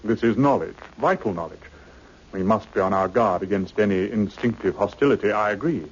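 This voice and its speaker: Low-voiced, authoritative British government official. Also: male voice, fairly quick, slightly rough. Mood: firm. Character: brisk, authoritative male British government official, cautious and self-assured. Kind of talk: old radio drama acting. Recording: muffled old-time radio recording.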